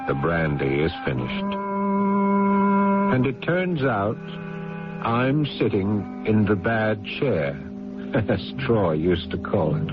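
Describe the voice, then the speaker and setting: An actor playing a lonely, guilt-ridden man in a halting old-time radio drama soliloquy. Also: lowish voice. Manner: halting, brooding soliloquy with outcries. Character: lonely, guilt-ridden man, brooding, stricken with chest pain. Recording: dull old radio recording with music behind.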